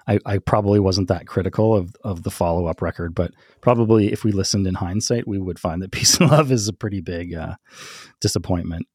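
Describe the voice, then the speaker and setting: Low-voiced man chatting casually, close and dry. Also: a little mellow, husky, no room sound. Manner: halting, chuckles often while talking. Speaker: podcast co-host. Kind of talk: casual chat, telling music trivia and anecdotes.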